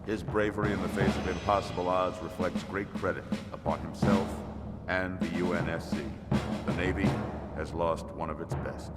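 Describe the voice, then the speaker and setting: An actor playing a dignified senior navy admiral in a video game, speaking formally with solemn command. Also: male voice, sits low, steady tone, normal speed, lightly acted. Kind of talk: dramatic video game cutscene acting. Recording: long hall reverb, loud music.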